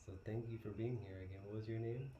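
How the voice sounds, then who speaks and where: A man hosting a casual interview, relaxed and friendly, with fillers here and there. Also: fairly low pitch, fast talker, lo-fi sound. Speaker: host. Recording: echoey room with background noise.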